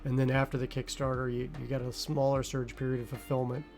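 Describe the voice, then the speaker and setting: A man's voice: mid-range voice, monotone, fast talker, over music. A man hosting a casual interview, loose and full of fillers as he asks questions. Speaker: show host. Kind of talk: casual chat.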